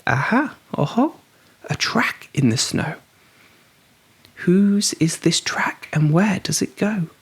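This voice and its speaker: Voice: mid-range voice, very animated, slow pace, breathy edge, exaggerated voice. A reader voicing a young female monster child, brave and curious, with eager childlike questioning, in a children's storybook. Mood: brave, searching.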